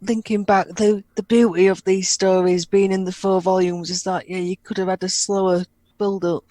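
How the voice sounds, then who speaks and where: A woman co-hosting a podcast in casual chat, relaxed, with many fillers. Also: mid-range voice, normal speed, no room sound. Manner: relaxed, fillers like "you know". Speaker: podcast co-host.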